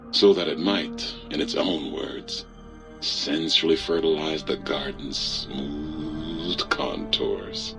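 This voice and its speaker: A man narrating a video game epilogue as a dry storyteller with deliberate, scripted delivery. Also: very deep voice, exaggerated voice. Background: music behind the voice.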